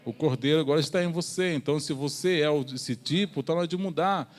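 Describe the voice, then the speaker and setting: A Brazilian man delivers a church sermon in a distinctly high-pitched male voice. He explains his points step by step, often pausing and repeating a phrase before carrying on. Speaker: preacher.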